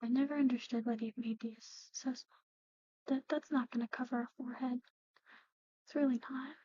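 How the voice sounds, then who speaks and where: Woman, whispery ASMR roleplay, mellow and soft, even pitch, gently explaining, slightly dull recording. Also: no echo. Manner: hushed, unhurried, gently explaining. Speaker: ASMR performer playing a medical practitioner.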